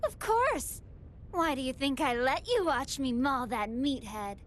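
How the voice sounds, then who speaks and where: A woman's voice: high voice, lively intonation, measured pace, slight background noise. An actress playing a gluttonous, playfully menacing female android villain in an anime video game, with a taunting, mock-sweet delivery. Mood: smug, taunting.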